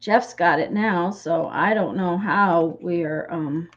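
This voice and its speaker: A woman hosting a craft livestream, chatting casually and greeting viewers by name as she works, her voice slightly croaky from a cold. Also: fairly low pitch, takes it slowly, muffled recording. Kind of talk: casual chat while crafting.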